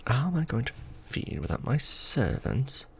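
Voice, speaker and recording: A man playing a courtly, doting vampire lord in an ASMR romance roleplay, speaking formally and deliberately with tender pauses. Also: mid-range voice, huge pitch swings, vocal fry, a little hiss or hum, full character voice. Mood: thoughtful, hesitant.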